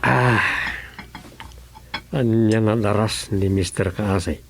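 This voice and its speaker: A man's voice: on the low side, extremely slow, creaky, music in the background, full character voice. A male actor with an Ilocano Filipino accent playing a chatty, nosy local councilor in a comic radio drama. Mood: chatty, bantering.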